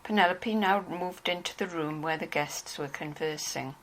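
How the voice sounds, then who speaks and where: A woman narrates a novel from a script. Her voice sits a little low, and there is some noise in the recording behind her. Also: normal speed.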